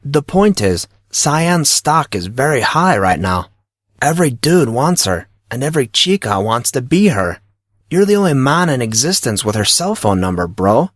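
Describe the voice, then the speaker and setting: A teasing, self-assured teenage lad acted by a male reader for a storybook, lifted a few semitones above a grown man's pitch. He talks in casual slang with a knowing air, recorded very dry. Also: very animated, moderate pace, dry recording. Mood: gossipy, sympathetic. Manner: casual, knowing, uses dude and bro. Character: smug, slangy teenage boy, teasing best friend.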